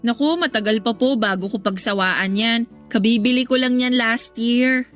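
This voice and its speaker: A woman's voice: a little high, normal speed, fairly bright tone, lightly acted. An actress playing a patient, polite young working woman in a radio drama, over faint background music. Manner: polite, restrained, respectful. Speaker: goddaughter.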